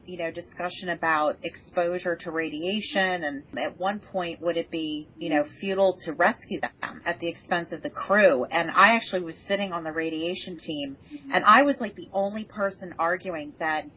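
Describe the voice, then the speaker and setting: A woman's voice: lowish voice, normal speed, slightly rough. A woman telling anecdotes in a casual panel discussion, chuckling between phrases. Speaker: podcast guest who helped write a space radio drama. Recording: dull, band-limited lo-fi audio.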